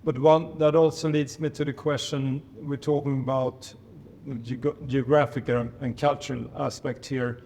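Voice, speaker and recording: A man's voice: medium pitch, level tone, normal speed, a little mellow, a little hiss or hum. Hesitant man moderating a panel. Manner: hesitant, searching for words. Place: reception room at a residence.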